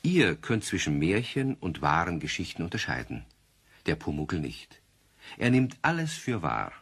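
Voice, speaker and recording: A man narrating a children's radio play as a storyteller, talking conversationally to young listeners with gentle, wry amusement. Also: sits low, very animated, unhurried, husky, full character voice. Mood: wry, friendly.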